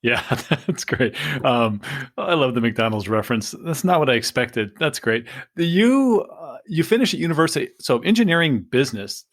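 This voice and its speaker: A man's voice: medium pitch, lively intonation, normal speed. A man who hosts a podcast, asks questions and reacts in a friendly way. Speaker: podcast host. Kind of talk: casual interview talk.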